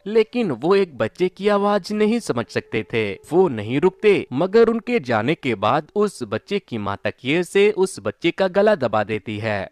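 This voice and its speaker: A man's voice: high-pitched, clean tone, dry recording. A man narrating a scripted film plot recap in a storytelling style.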